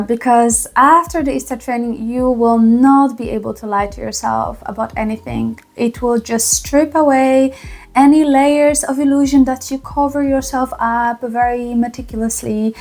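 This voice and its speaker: A woman with a Polish accent giving a reflective personal testimonial to camera, speaking earnestly with thoughtful, halting pauses. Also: fairly high pitch. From small town in Poland. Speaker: vlogger.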